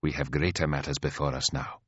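Audiobook role: male narrator as elder queen, very low and hushed, patiently chiding, mild yet menacing. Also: steady tone, a little fast, husky, no room sound, lo-fi sound, full character voice. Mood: calm chiding. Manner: patient, chiding, like a teacher with a naughty pupil.

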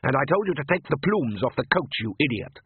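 A man's voice: mid-range voice, big pitch swings, a little fast, breathy edge, no echo, heavily acted. A male narrator voicing a conciliatory aristocratic vampire father who talks to his family in a parental, soothing way. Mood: placating, dry. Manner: parental, soothing, with repressed irritation. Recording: tinny phone-like band.

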